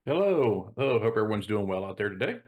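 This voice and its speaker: A man's voice: on the low side, sing-song, quick pace, darkish tone. A man co-hosting a show, chatting casually and adding brief clarifications. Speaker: co-host of a hazardous materials show.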